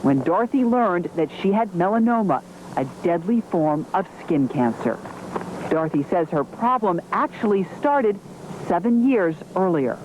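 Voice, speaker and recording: A woman's voice: fairly low pitch, animated, moderate pace, a little mellow, poor audio. A woman reading scripted narration as a television news correspondent. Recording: clear background noise under narration.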